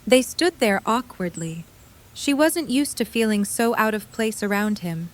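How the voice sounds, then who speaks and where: A woman's voice: medium pitch, expressive, measured pace. A woman reading scripted story narration for an audio drama.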